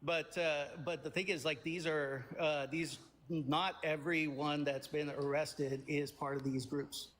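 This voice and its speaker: A man originally from India, with a fairly high voice, calls into a heated debate. He sounds defensive and hesitant and fills his sentences with pauses. His audio carries a long, echoing reverb. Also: little pitch movement, fairly bright tone. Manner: defensive, hesitant, many fillers. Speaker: caller. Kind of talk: debate call-in.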